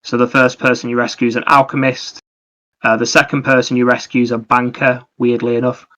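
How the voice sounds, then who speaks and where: A man's voice: medium pitch, little pitch movement, fairly quick, bright, forceful tone. A man co-hosting a podcast, talking casually about games in a rambling, explanatory way. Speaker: podcast co-host. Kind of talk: casual gaming discussion.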